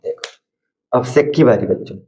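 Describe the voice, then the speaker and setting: A hoarse man teaching math to school students at a board, repeating steps and asking questions that he answers himself. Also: mid-range voice, moderate pace, dark tone, dull audio. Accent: Hindi.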